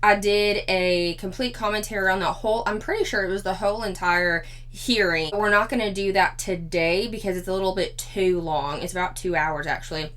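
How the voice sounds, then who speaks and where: Slightly hoarse chatty woman. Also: mid-range voice, fairly quick, few pauses, fairly bright tone. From Four-State area of Missouri, Arkansas, Kansas, Oklahoma. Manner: chatty, laughs often, restarts phrases. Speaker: YouTube commentary host. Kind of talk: casual commentary vlog.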